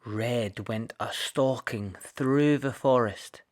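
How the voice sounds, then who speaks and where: A man narrating a children's picture book in an expressive read-aloud style. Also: medium pitch, slow pace. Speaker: storybook reader.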